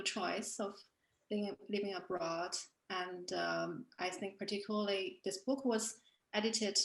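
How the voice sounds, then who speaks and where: A woman whose first language is Chinese speaks in a reflective online panel talk. She chooses her words slowly, with frequent fillers and self-corrections. Her voice sits at a middle pitch and carries a roomy echo. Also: gravelly. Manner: thoughtful, many fillers and restarts. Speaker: artist and author discussing her book.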